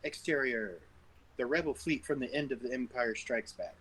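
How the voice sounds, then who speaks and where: A man's voice: medium pitch, normal speed, fairly bright tone, husky, rough recording. A man reading stage directions as narrator at an amateur table read over an online call. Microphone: group online call.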